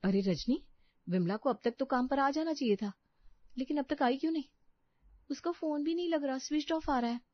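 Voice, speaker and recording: Woman acting an indignant, vain housewife, raised pitch. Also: a little fast, no echo, highs cut off, natural voice. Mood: worried. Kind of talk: cartoon moral story dialogue.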